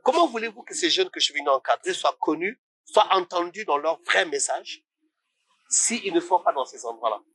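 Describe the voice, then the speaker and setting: A man's voice: fairly high pitch, expressive, normal speed, fairly bright tone, slightly rough, no echo, low-quality recording. A man from Congo, a musician talking as a guest in a casual interview, passionate and rising to indignant questions.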